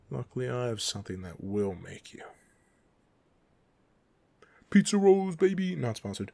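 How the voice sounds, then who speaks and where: A cocky young supervillain voiced by a man talks close and dry, with a creaky, gravelly edge. He is smug and teasing in a casual, conversational way, with a soft heart underneath, as in an intimate roleplay audio drama. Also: medium pitch, no echo, full character voice. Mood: playful, enticing.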